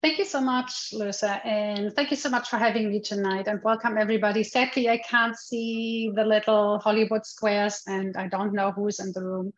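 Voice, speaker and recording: Middle-aged woman with a German accent, non-native English speaker, relaxed lecturer on a slightly muffled video call. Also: medium pitch, moderate pace, hardly any pauses. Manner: chatty, loose, with many restarts and fillers. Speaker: guest lecturer. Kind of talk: webinar presentation. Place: home room.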